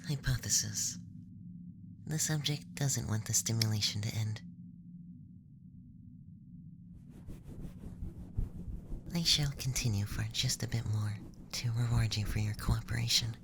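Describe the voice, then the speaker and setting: A man playing a curious young alien examiner in a whispered ASMR roleplay, speaking in measured, scientific phrases close to the microphone. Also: mid-range voice, fairly even intonation, vocal fry, noisy background, lightly acted. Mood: curious, clinical.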